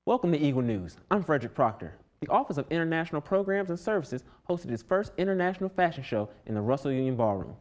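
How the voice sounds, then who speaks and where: A young man anchoring a student newscast with steady, scripted delivery. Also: on the high side, fast talker, hardly any pauses. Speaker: news anchor.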